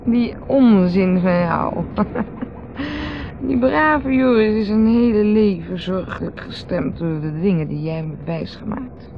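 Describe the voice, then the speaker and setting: A woman playing a bitter, mocking wife in a radio drama, speaking in a sardonic, halting way. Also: mid-range voice, vocal fry. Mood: bitter mockery. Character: bitter, mocking wife needling her brother-in-law. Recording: dull noisy old radio recording, outdoor ambience.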